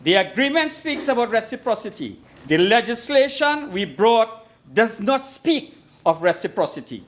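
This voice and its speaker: A man's voice: high voice, big pitch swings, normal speed. A Trinidadian man giving a formal parliamentary debate speech as an opposition member, emphatic and oratorical, repeating phrases for effect. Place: parliament chamber.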